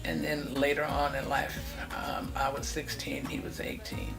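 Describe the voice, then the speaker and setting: An older woman in her sixties with a gravelly edge to her voice, from California. She recalls her family life at a steady pace, naming dates and people one by one. Music plays clearly beneath her. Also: a bass voice, unhurried, low-quality recording. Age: 60s. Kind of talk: personal reminiscence.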